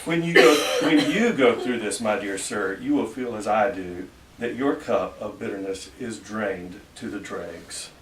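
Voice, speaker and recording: A man's voice: lowish voice, measured pace, few pauses, crowd noise. Man reading dramatically in an echoey room. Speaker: reader at a library event. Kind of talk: dramatic reading of an old formal letter. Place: library reading room at a public event. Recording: echoey room, distant mic, faint noise.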